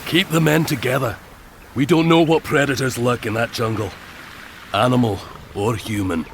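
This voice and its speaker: An actor playing a loyal, brave Scottish knight in a video game, firm and plain-spoken. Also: male voice, mid-range voice, steady tone, normal speed, a little hiss or hum, close to an everyday voice. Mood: cautious. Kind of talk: dramatic game cutscene acting.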